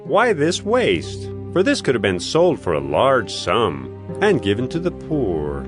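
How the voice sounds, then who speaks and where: An actor playing a grumbling male disciple who complains in sharp questions. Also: medium pitch, wildly swooping pitch, a little slow. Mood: indignant. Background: music score.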